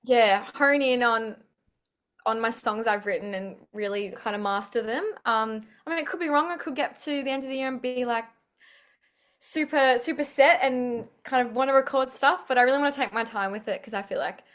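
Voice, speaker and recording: Young Aussie woman, slightly high voice, upbeat and rambling, heard over a video call. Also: moderate pace, a bit bright. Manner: chatty, upbeat, many fillers. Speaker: singer-songwriter guest. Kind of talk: casual interview chat.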